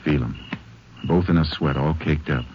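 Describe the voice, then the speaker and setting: An actor playing a steady, firm Texas Ranger in a radio western, terse and plain-spoken. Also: male voice, rumbling low, moderate pace. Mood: calm, businesslike. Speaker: lead of the show, also narrating. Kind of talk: 1950s radio western acting and narration. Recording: muffled old radio recording, faint hiss.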